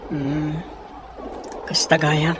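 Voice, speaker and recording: A man playing a sheltered, sympathetic young man from a rich family in an audio drama, hesitant and gentle, with a northern Philippine accent. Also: a little high, monotone, extremely slow. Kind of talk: acted audio drama dialogue. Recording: faint background noise.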